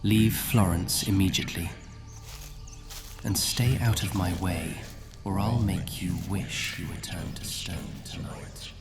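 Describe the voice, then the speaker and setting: Slow, deep, haughty vampire lord acted by a man. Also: fairly even intonation, over music. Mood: cold menace. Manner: haughty, drawling, menacing. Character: imperious, cold, centuries-old male vampire lord. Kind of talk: fantasy audio roleplay acting.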